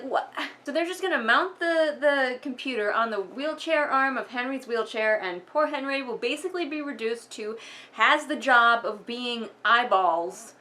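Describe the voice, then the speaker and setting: A woman's voice: high-pitched, bright, forceful tone. A woman giving casual, sarcastic book commentary in an emphatic, exasperated manner, sometimes reading passages aloud. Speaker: vlogger doing a critical book read-along.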